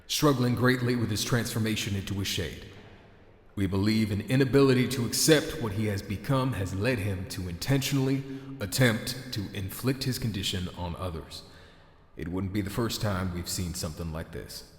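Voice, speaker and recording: An actor playing a grave male official delivering a measured, formal statement in an audio drama. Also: on the low side, lightly acted. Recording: echoey hall with long reverb.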